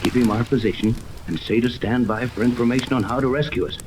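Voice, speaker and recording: An actor playing an earnest, authoritative ship captain in a 1930s radio adventure, explaining things with urgency. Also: male voice, mid-range voice, fast talker, lightly acted. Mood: earnest, resolute. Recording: noisy old 1930s radio, rain-like hiss.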